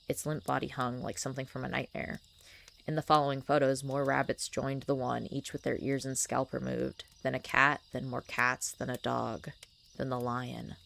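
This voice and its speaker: A young woman tells a horror story in a slow, hushed way. Her voice sits very low and has a rough, gravelly edge. The scratchiness of her voice runs under every line. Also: a little hiss or hum. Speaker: co-host reading a horror story. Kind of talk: slow scripted horror narration.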